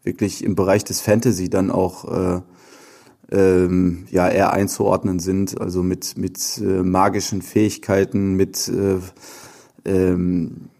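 Low, very mellow male podcast host. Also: flat delivery, slow. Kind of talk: casual podcast chat.

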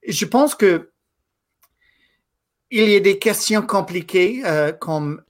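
A man in his thirties, a native English speaker from Quebec, giving a guest interview in a thoughtful, halting way. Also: high voice, animated. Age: 30s. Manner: thoughtful, halting, with frequent pauses and restarts. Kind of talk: casual interview conversation.

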